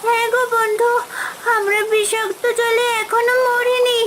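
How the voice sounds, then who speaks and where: Woman voicing a very high-pitched pleading little fish. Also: little pitch movement, unhurried, background noise. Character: small female fish, pleading younger sister in distress. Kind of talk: Bengali cartoon voice acting.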